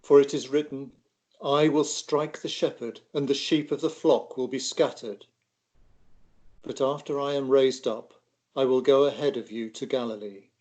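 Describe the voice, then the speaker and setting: Grave, sorrowful male teacher read by a man, mid-pitched, measured and solemn, for a dramatised gospel. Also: fairly even intonation, darkish tone, lightly acted. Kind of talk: dramatised scripture reading.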